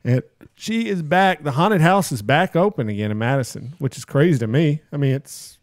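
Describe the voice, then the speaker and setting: A man's voice: fairly high pitch, lively intonation, normal speed, no echo, studio quality. A man hosting a casual podcast, upbeat as he reads out listener posts and plugs. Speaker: podcast host.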